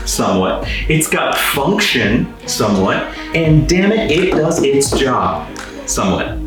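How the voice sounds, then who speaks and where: A man's voice: mid-range voice, echoey room, distant mic, rough recording. A young man giving casual, joking DIY commentary as host, in a wry, self-deprecating way. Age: young adult. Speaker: host of a DIY video series.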